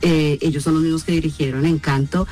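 A woman's voice: deep voice, brisk, soft background music. Colombian woman telling stories animatedly. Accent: Colombian, softened toward Mexican. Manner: animated, expressive storyteller. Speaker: actress, guest. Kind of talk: conversational interview storytelling.

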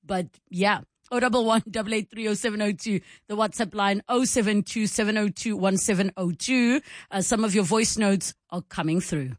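A woman's voice: mid-range voice, normal speed, few pauses, dry recording, clean studio sound. A woman hosting a live talk radio show, chatting in a conversational, reflective way. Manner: conversational, reflective, reads out phone numbers. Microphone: studio microphone.

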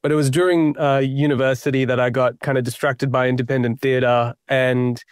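Give me a smooth-voiced young Australian man. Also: mid-range voice, level tone, brisk, no echo, clean studio sound. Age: young adult. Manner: reflective and self-deprecating, many fillers and restarts. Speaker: playwright guest. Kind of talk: interview.

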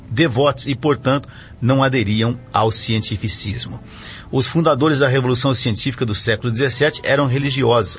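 A man's voice: mid-range voice, a bit bright. Chatty male radio pastor, lo-fi sound. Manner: chatty, explanatory, often adds "né?". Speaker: radio program host and pastor. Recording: lo-fi radio broadcast with faint music.

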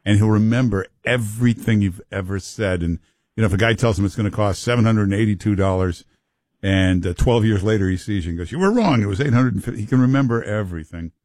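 A male talk radio host jokes and teases in a wry, easygoing way. His voice sits on the low side and is recorded close and dry in a studio. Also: fast, a little mellow, no room sound. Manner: wry, teasing banter. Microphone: studio mic.

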